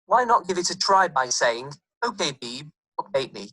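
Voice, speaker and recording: A synthetic voice assistant with a generic northern English accent, reading responses in an even, scripted way. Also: medium pitch, normal speed, dry recording.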